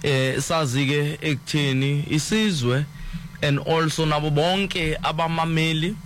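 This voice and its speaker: A man's voice: fairly high pitch, steady tone, a little slow, fairly bright tone, slight background noise. A man hosting a radio programme and thanking his guest in a formal manner. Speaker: radio host.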